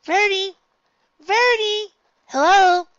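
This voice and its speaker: Dude doing a shy nerdy girl unicorn, stammery n polite, higher voice, storybook reading. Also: very animated, moderate pace, bright, forceful tone, dry recording, muffled recording, full character voice. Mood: excited. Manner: hesitant, stammering. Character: shy, polite, bookish female unicorn librarian.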